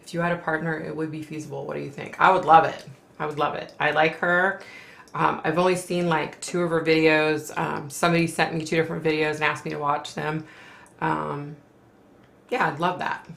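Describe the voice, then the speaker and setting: Low gravelly-voiced woman chatting on a livestream. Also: level tone, fairly quick, a bit bright, roomy sound. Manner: chatty, rambling, reads comments aloud and reacts. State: losing her voice. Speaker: livestream host reading viewer comments. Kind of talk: casual livestream chat.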